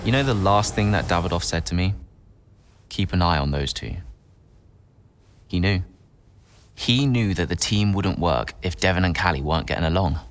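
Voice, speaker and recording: An actor playing an earnest, awkward male racing driver trying to make peace in a video game cutscene, with a hesitant, sincere way of talking. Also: deep voice.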